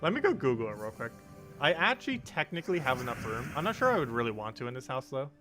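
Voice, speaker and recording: Rambling low-voiced streamer with music behind. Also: male voice, sing-song, quick pace. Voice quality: low-pitched voice. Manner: rambling, laughs often, goes off on tangents. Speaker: live streamer. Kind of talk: casual live-stream chat while gaming.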